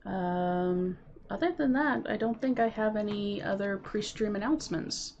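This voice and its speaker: A woman's voice: mid-range voice, a little slow, darkish tone, highs cut off, slight background noise. A woman with a cold, sounding sniffly and nasal, chatting casually and apologetically through a game stream from home. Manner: chatty, apologetic, with frequent ums. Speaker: Minecraft streamer. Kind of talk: casual gameplay stream chat. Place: home, with keyboard and computer sounds.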